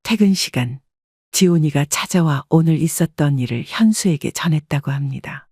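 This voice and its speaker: Husky woman narrating an audiobook. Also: sits low, expressive, a little mellow, no echo, clean studio sound. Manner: measured, polite storytelling. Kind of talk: scripted first-person story narration.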